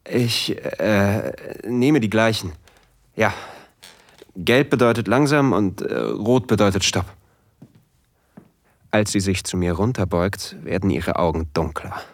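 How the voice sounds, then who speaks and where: A man playing an eager, nervous submissive husband, confiding his story in a hushed, deliberate first-person audio drama narration. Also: sits low, dry recording, heavily acted. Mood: nervous, aroused.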